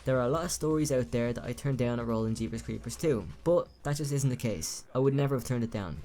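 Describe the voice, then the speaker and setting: A man's voice: mid-range voice, fast, mellow, faint music behind, natural voice. A man reading aloud an actress's quoted interview answers in his own plain voice.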